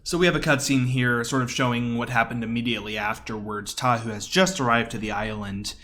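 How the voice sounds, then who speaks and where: A man's voice: mid-range voice, fairly even intonation, brisk. A man giving casual, enthusiastic live commentary on his own video game speedrun in a conversational way. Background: quiet game audio.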